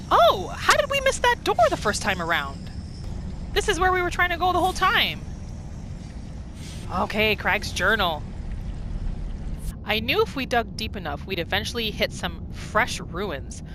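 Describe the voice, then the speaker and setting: A woman's voice: on the high side, big pitch swings, measured pace, pauses often. A woman giving casual game commentary on her roleplay playthrough, thinking aloud and exclaiming readily. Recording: clear noise behind the voice.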